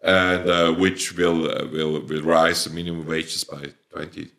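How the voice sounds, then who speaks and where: A man's voice: extremely low pitch, little pitch movement, unhurried, fairly bright tone. A man with a Swiss German accent, speaking English as a later language, answering interview questions hesitantly with many fillers and restarts. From Switzerland. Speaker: plant producer, company owner.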